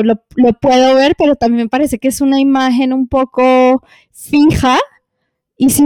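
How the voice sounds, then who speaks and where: A woman's voice: on the high side, warm tone, no echo. A woman talking on a podcast in a casual way, hesitant and searching for words, with many fillers. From lived in Colombia.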